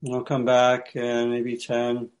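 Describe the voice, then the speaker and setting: A man teaching meditation, giving light guided instructions in short phrases with pauses between them. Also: mid-range voice, completely flat delivery, lo-fi sound.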